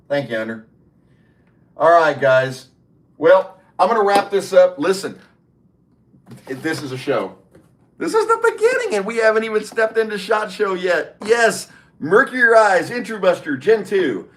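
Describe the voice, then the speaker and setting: A man's voice: fairly high pitch, a bit bright. A middle-aged man hosts a casual livestream. He talks loosely and sociably, replying to chat comments by name as they come in. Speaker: livestream host. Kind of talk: casual live stream chat.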